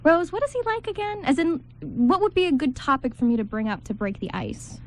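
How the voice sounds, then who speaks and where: Radio play: awkward, anxious bridesmaid female actor, rambling nervously in a high voice. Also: sing-song, fairly quick. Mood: hesitant. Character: awkward, anxious maid of honor, shy around men. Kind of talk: amateur radio play script reading.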